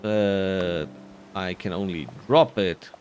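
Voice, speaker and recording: A man's voice: low-pitched, slow pace, darkish tone, faint music behind. A man giving casual commentary over a video game playthrough. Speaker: host of an edited game playthrough.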